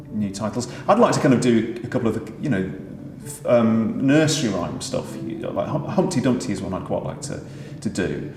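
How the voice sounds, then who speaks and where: A man, a pantomime writer and performer, talking through his ideas in a thoughtful, halting way with many fillers. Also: fairly low pitch, a little mellow. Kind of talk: conversational discussion. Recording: echoey room with faint background noise.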